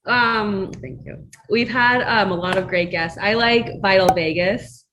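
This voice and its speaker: A woman's voice: medium pitch, unhurried, fairly bright tone. A woman talking casually as a guest in an interview. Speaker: interview guest, marketing manager. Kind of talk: casual conversational interview talk. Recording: noisy background ambience.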